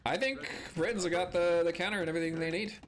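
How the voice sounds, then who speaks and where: A man with a cold, hoarse and clearing his throat now and then, giving casual play-by-play commentary on a strategy game, calm analysis broken by excited exclamations. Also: sits high, quick pace.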